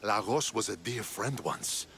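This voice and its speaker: Game cutscene: a gravelly, forceful general, low voice, stern, faint ambience. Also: male voice, expressive, measured pace, slight background noise. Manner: stern, disapproving.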